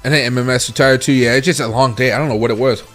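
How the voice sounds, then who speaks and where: A tired man with a raspy voice hosting a casual crypto livestream, thinking aloud as he reads charts and answers chat. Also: medium pitch, fairly even intonation, very fast, fairly bright tone, soft background music. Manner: rambling, thinks aloud while scrolling charts and reading chat.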